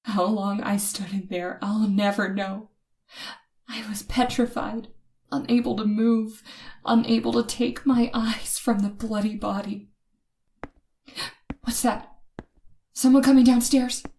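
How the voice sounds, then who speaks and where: A woman's voice: a little high, flat delivery, measured pace, pauses often, darkish tone. A woman playing a frightened woman motorist in an old-time radio horror drama, with tense, suspenseful, dramatic delivery. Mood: panicked. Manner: dramatic, breathy gasps and hesitant stammers when afraid. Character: frightened young woman motorist, tense, narrating her terror. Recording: noisy recording with ambient effects.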